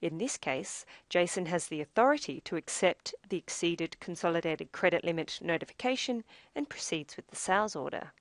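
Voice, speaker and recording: A woman presenting a software demo as scripted instructional narration, measured and explanatory. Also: fairly low pitch, lively intonation, dry recording.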